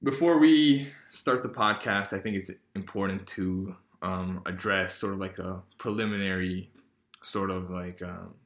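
Casual teen boy hosting a podcast, low voice, lots of ums, roomy echo. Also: measured pace, a bit bright. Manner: rambling, many fillers and restarts. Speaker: podcast host.

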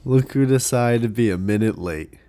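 A man's voice: medium pitch, moderate pace. A young man with a stuffy, blocked nose from a cold, chatting casually and laughing as a podcast co-host. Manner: animated, laughing, swearing. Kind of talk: casual chat.

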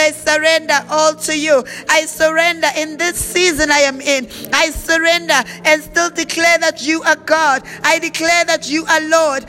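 Fervent woman praying over soft music. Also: very high voice. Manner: fervent, repetitive declarations. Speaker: prayer leader. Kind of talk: spoken prayer with speaking in tongues.

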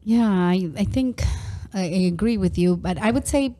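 A woman's voice: medium pitch, moderate pace, dark tone. A woman guest speaking earnestly in casual chat, often checking in with "right?". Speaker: interview guest.

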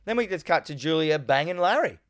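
Male co-host, low from a cold, smooth clear voice, dry close mic, swears a lot. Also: expressive, dry recording. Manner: swears freely, acts out characters' lines in quick voices. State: getting over a cold, voice lower than usual. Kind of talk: casual film recap chat.

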